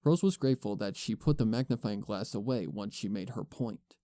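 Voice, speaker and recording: A man's voice: fairly low pitch, brisk, mellow, no echo, clean studio sound. A man giving scripted storybook narration as the narrator of a fan fiction audio reading. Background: music.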